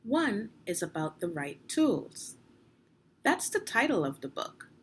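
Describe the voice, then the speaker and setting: A woman narrating an instructional children's read-along as a teacher, phrasing deliberately and guiding young listeners through the text. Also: medium pitch, sing-song, slightly rough. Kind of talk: instructional read-aloud of a children's nonfiction book.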